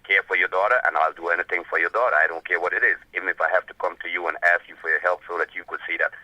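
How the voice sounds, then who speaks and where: A deep-voiced man with a Belizean accent phones in to a radio show. His tone is very bright and hard-edged, and he makes his point earnestly, insisting and repeating himself. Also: little pitch movement, rapid-fire, no room sound, dull audio. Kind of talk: casual phone-in opinion.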